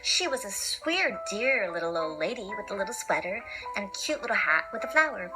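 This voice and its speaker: A woman's voice: mid-range voice, sing-song, brisk, poor audio. A woman reading a children's picture book aloud theatrically, with playful asides and repetition. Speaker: storyteller, podcast host. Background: music.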